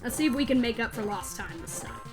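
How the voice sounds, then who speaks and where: High-voiced woman doing chatty game commentary. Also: expressive, fast. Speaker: let's play host. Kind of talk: casual gameplay commentary. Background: video game music.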